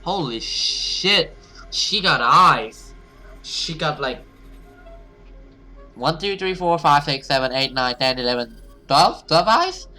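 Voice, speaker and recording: A man doing expressive let's play commentary and dramatic read-aloud of a horror visual novel as a gaming host. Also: a little high, slow, faint music behind. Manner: expressive, reactive, sweary.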